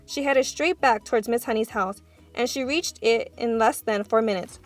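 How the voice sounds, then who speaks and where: High-pitched woman reading a story aloud. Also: moderate pace, faint music behind. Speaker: read-aloud narrator. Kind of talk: expressive children's book narration.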